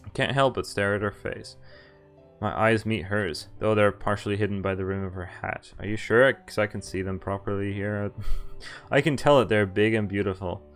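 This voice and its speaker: A man's voice: fairly low pitch, normal speed, faint music behind. A man streaming a visual novel, reading its dialogue and narration aloud and breaking off with casual, laughing asides. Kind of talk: game playthrough, reading lines aloud with commentary.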